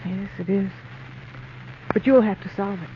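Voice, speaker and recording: A woman's voice: mid-range voice, normal speed, natural voice. An actress playing a scheming young woman who feigns love in a melodramatic 1940s radio drama. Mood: coy pleading. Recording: old muffled radio recording, faint noise.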